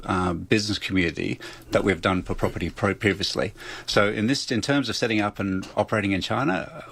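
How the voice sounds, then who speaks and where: A man's voice: fairly low pitch, vocal fry, a bit bright, husky. An Australian man, a company founder being interviewed, explaining his points as lists and rhetorical questions.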